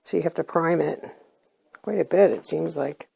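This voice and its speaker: A middle-aged woman with a hoarse voice from a cold, chatting casually as she reviews beauty products and often pauses with ums. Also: low-pitched, warm tone, slight background noise, poor audio. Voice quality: hoarse, clears her throat. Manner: chatty, plainspoken, hesitant with ums. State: getting over a cold, losing her voice. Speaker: unboxing vlogger.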